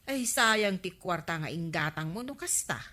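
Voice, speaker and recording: A woman's voice: lowish voice, lively intonation, normal speed, sharp tone. A woman playing a frank, nosy village friend in a radio comedy drama. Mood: chiding.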